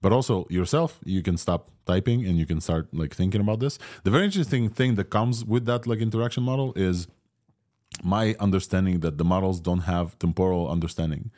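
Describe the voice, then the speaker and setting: A man's voice: sits low, a little fast, warm tone. A man with a Ukrainian Israeli accent hosting a casual tech podcast, rambling with many fillers and restarts. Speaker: podcast host.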